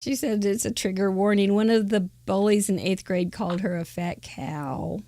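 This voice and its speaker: A playful woman hosts a live crafting show, chatting easily and laughing often. Her voice sits at an ordinary middle pitch, and the recording is dry and close with no room echo. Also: steady tone, normal speed, mellow. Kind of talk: casual live-stream chat.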